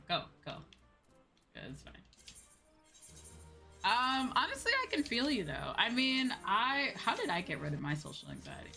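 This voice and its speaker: Young woman, lower-pitched, bright tone, chatty casual game stream, giggly, music in the background. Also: gravelly. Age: young adult. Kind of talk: casual livestream chat while gaming.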